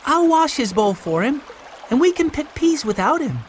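A woman's voice: high voice, very animated, normal speed, slight background noise, pitched above the performer's own voice. A woman voicing a sweet, kindly young girl in a children's story.

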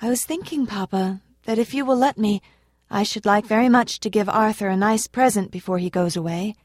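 A woman voicing a sweet, earnest little girl who speaks in a soft, pleading way. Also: medium pitch, a little fast, no echo, studio quality, voice pushed up, natural voice.

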